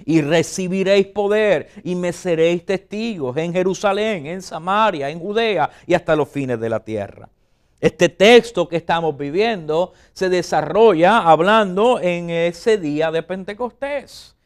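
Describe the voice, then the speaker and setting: High-voiced preacher. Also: male voice, animated, unhurried, clear, resonant tone. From Puerto Rico. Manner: fervent preaching, addresses listeners directly. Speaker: pastor. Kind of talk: sermon.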